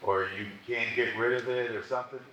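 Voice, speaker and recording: A man's voice: medium pitch, steady tone, a little fast, bright, forceful tone. Insistent man asking plain questions. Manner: colloquial, insistent. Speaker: resident at public meeting. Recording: echoey hall, faint noise.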